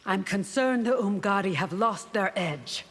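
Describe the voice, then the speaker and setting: An actress playing a stern, dutiful royal guardian priestess in a fantasy video game, formal and confident. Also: female voice, medium pitch, lively intonation, measured pace. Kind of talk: video game fight banter. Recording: reverberant hall, noisy game background.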